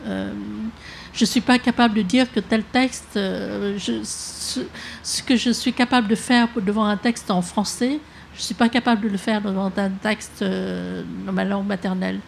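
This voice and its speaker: A woman writer whose first language is Vietnamese, reading her text aloud and then answering questions in a soft, hesitant way with many halting restarts. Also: mid-range voice, fairly even intonation, vocal fry, a little hiss or hum. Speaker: author. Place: public talk with an audience.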